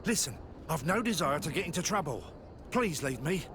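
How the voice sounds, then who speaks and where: Nervous game shopkeeper, high voice, lively intonation, polite, evasive. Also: male voice, moderate pace, background noise. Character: nervous, evasive, courteous male wine merchant. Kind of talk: game cutscene acting.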